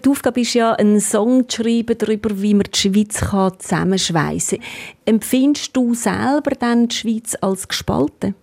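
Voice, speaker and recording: A woman's voice: fairly high pitch, normal speed, creaky, a little mellow. A woman with a Swiss German accent interviewing a singer in a basement rehearsal room, friendly, with follow-up questions. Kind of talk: radio interview.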